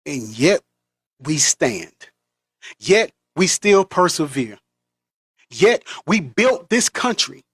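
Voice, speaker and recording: American guy on a fired-up preachy rant, kinda high voice, dry sound. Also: very animated, slow, pauses often, fairly bright tone, slightly rough, no room sound. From United States. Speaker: vlogger. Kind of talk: monologue.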